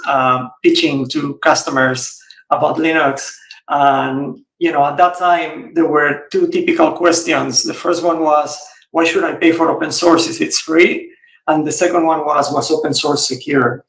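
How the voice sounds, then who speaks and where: A middle-aged man from Spain, a guest on a podcast interview, explaining things in a relaxed way with frequent restarts. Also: on the high side, creaky, echoey room, recorded from a distance, rough recording. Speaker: guest, regional software executive.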